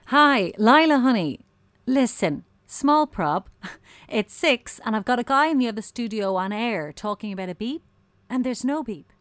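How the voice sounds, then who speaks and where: A woman's voice: on the high side, lively intonation, moderate pace, fairly bright tone, no room sound. An actress playing a breezy, patronising woman radio producer, sugary and chatty with veiled menace, in a darkly comic audio drama. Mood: breezy, condescending. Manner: sugary, condescending, theatrically chatty.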